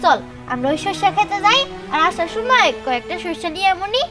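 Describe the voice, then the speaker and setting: Woman as a squeaky village child playmate. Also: big pitch swings, brisk. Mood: coaxing. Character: chatty, cheerful village child, coaxing playmate. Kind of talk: fairy-tale drama dialogue. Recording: music behind the voice.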